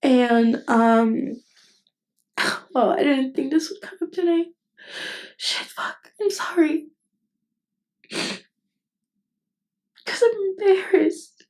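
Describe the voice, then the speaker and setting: A woman acting a nervous, tender best friend with hidden love in an intimate ASMR roleplay, speaking hesitantly and haltingly. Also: high-pitched, expressive, a little mellow, full character voice. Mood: embarrassed, tearful. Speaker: voice actress.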